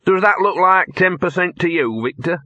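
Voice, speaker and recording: Storybook talking mutt, played by a man: streetwise, disgruntled, cynical and smug, with a rasp and some bite. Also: high voice, moderate pace, sharp tone, dry recording, dull audio, pitched above the performer's own voice. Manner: grumbling, colloquial, sardonic.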